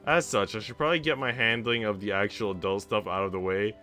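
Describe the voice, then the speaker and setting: A male streamer with a bright, forceful voice on the low side. He reads a visual novel aloud and drops in casual, slangy asides over soft music. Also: fairly even intonation, fast.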